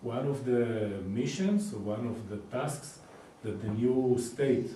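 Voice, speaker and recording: A man, a Hebrew speaker, answering interview questions in a casual, explanatory way with frequent pauses and filler sounds. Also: mid-range voice, mellow, slight background noise. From Israel. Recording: echoey room, distant mic.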